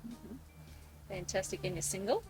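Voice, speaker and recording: A woman's voice: mid-range voice, expressive, normal speed, a little mellow. Woman podcast host over music. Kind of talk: conversational podcast interviewing. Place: couch in a room in Nakasi.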